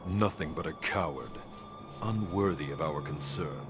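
A man's voice: extremely low pitch, lively intonation, unhurried. A man playing a scornful, dismissive castle guard in a video game, with noise around the voice. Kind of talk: video game dialogue acting.

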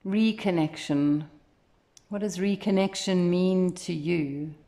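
A woman leading a guided meditation as instructor, speaking in a gentle, soothing, unhurried way. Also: on the low side. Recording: echoey, roomy sound.

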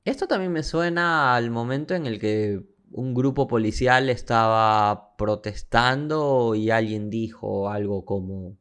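Clear, smooth male co-host. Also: mid-range voice, a little slow, hardly any pauses, a bit bright. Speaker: podcast co-host. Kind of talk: casual storytelling commentary.

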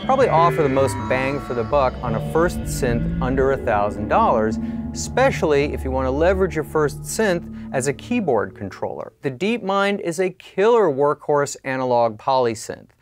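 A middle-aged man narrating a scripted product overview in a clear, explanatory way with varied emphasis. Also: medium pitch, sing-song, moderate pace. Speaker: product video presenter. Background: music and synthesizer playing.

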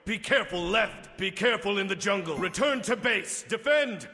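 An actor playing a vengeful winged god of death in a video game, speaking in grave, menacing, theatrical pronouncements. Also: male voice, high-pitched, animated, moderate pace, pushed and bright, in a large hall. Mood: urgent. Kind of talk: video game character voice lines.

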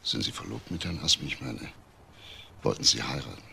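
A controlled police inspector in a crime radio play. His voice is very low and creaky, and he questions in a probing, halting way. There is faint noise behind him. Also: sing-song, pushed and bright, exaggerated voice. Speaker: actor. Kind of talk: German crime radio drama acting.

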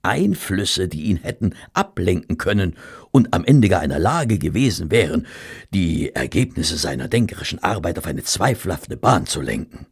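An actor playing a courteous, reverent gentleman who narrates a detective friend's cases in an audio drama, formal and measured, with dramatic pauses and a gravelly voice. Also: low voice, big pitch swings, exaggerated voice. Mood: earnest, confiding.

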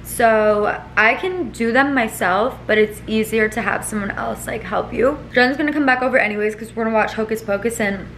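A woman's voice: a little high, normal speed, hardly any pauses, a bit bright, a little hiss or hum. Chatty young woman vlogging at home. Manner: chatty, rambling, lots of fillers. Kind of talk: casual vlog chat to camera. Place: home living room and kitchen. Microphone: camera at arm's length.

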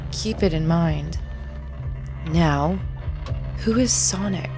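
A woman's voice: on the low side, slow pace. A woman playing a ruthless middle-aged female general who gives orders in a commanding, cruel way. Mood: cold. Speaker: military general. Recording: music bed underneath.

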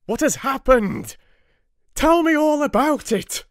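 A man voicing a frantic young husband, shouting desperate pleas. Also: very high voice, animated, takes it slowly, dry recording, clean studio sound, pitched above the performer's own voice, exaggerated voice.

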